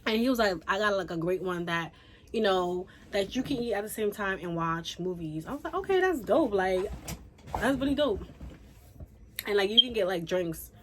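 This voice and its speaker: A young woman from Haiti chatting casually through a storytime, animated and full of fillers. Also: medium pitch, moderate pace, slight background noise. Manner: chatty, animated, says "like" and "y'all" a lot. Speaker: YouTuber.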